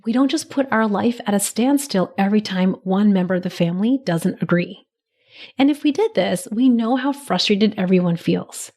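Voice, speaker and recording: A woman in her thirties hosting a solo podcast, her voice a little raspy from a cold, speaking in a gentle, encouraging coaching manner. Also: mid-range voice, lively intonation, normal speed. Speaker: podcast host.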